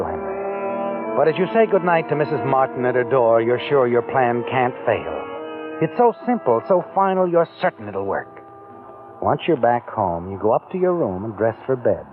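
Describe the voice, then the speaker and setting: Male narrator, lively insinuating delivery, tinny old radio sound. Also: mid-range voice, normal speed, music in the background. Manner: insinuating, teasing questions to the listener. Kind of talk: second-person radio drama narration.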